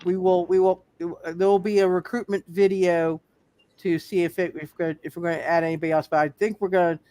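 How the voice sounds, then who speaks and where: High-voiced man chatting on a stream. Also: level tone, fairly quick, mellow, dry recording. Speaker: show co-host and player. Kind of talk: casual live-stream chat.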